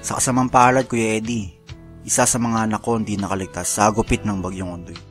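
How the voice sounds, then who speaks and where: A man's voice: on the low side, level tone, fairly quick, close to an everyday voice. Male actor as jailed ex-migrant worker in his forties, sorrowful letter narration for radio drama, prominent music underneath. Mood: sorrowful, reflective. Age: 40s. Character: Naldo, 45-year-old imprisoned former overseas worker confessing his sorrows.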